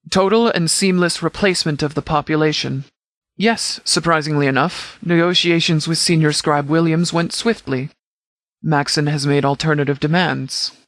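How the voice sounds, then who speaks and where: A man voicing a stern military commander in a video game, speaking formally and with measured authority as he briefs. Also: a little high, a bit bright, dry recording, natural voice. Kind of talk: scripted game dialogue, mission briefing.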